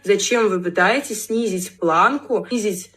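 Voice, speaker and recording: A woman with a husky, smoky voice delivering a confident, matter-of-fact lecture straight to the listener. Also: medium pitch, unhurried, fairly bright tone. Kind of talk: lecturing monologue to men.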